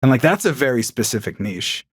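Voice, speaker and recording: A man's voice: mid-range voice, big pitch swings, brisk, husky, no echo. Man, podcast guest, enthusiastic, chatty, home recording room, dry sound. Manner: enthusiastic, chatty, with frequent fillers. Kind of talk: casual chat.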